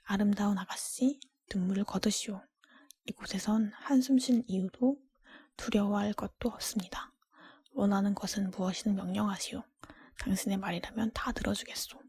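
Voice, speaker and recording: A courtly, devoted storybook message read out by a woman comes in a very breathy, mellow whisper. It is formal and consoling. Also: mid-range voice, fairly even intonation, moderate pace. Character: courtly, devoted message from an enchanted fairy-tale book.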